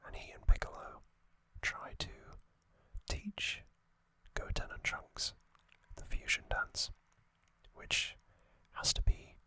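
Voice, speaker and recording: A man whispering trivia softly for an ASMR video, close to the microphone, with frequent ums and uhs. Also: slow pace, no echo. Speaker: ASMR channel host.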